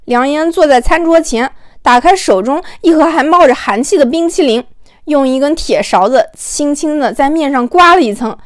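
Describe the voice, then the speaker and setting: A woman tells a story as an audiobook narrator, her voice very high. Her delivery is expressive and conversational, recorded close and dry. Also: normal speed, dry recording.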